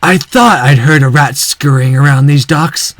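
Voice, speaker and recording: A man's voice: mid-range voice, unhurried, no room sound, close to an everyday voice. A man voicing a menacing gangster villain in a visual novel, gloating and taunting.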